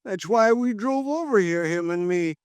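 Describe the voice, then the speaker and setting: An actor playing a loyal, frightened old lumberyard hand in a 1950s radio drama, who speaks in slow, halting rural speech. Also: male voice, sits high, expressive, clear, resonant tone, no echo. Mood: grieving. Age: older.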